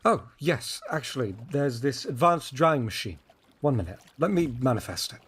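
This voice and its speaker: A man's voice: medium pitch, expressive, normal speed, a bit bright, husky. An actor playing a cautious, helpful young man in an audio drama, explaining things earnestly and easily flustered.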